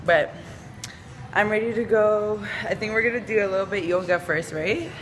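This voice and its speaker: A woman's voice: mid-range voice, moderate pace, fairly bright tone, a little hiss or hum. A young woman vlogging casually on a phone held at arm's length, talking to her viewers with slang at home and at the gym. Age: young adult. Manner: chatty, slangy, talks to viewers as she goes. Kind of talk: casual vlog chat. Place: home, then a gym indoors.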